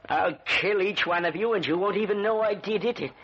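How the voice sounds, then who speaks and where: A man's voice: high-pitched, brisk, husky. A man acting an anxious, commanding older businessman haunted by guilt in an old-time radio drama. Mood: fearful, fixated. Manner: agitated, commanding, urgent. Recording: muffled, noisy vintage radio recording.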